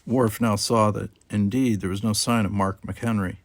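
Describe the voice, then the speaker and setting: A man's voice: lowish voice, fairly even intonation, moderate pace, dry recording. A man reading a novel aloud as a scripted audiobook narrator.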